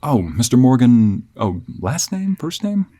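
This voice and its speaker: A man's voice: mid-range voice, big pitch swings, measured pace, mellow, pitched above the performer's own voice. A man voicing a nervous, polite and cautious village traveler who stammers, in a fantasy audio roleplay. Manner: stammering, flustered, courteous.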